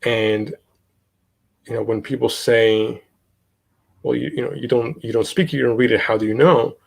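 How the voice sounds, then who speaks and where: A young man from Italy, talking casually as an interview guest, halting with many fillers and restarts. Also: mid-range voice, fairly even intonation, gravelly, breathy edge, rough recording. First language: Italian. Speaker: guest, podcast host.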